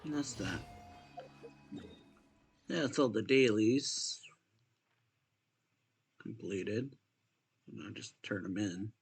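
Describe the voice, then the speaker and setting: A man giving casual, low-key let's play commentary, unhurried and muttering as if to himself while he plays. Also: vocal fry, husky, faint music behind. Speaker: gaming streamer.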